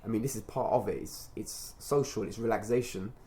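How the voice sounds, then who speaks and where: A man with a low voice speaks as a mentor in an informal recorded conversation. He explains things in a measured, reflective manner. He is encouraging as he goes. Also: dark tone. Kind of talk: informal testimonial conversation with a child.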